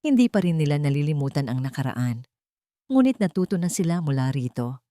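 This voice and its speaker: A woman narrating a romantic melodrama in an even, measured storytelling manner. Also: low-pitched, no room sound, clean studio sound.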